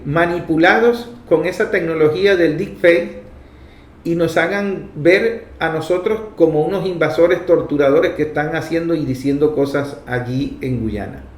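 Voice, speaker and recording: A Venezuelan man with a somewhat high voice speaks as a political talk host. His delivery is emphatic and didactic, repeating points for effect. The sound is echoey and reverberant. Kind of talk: political commentary addressed to listeners.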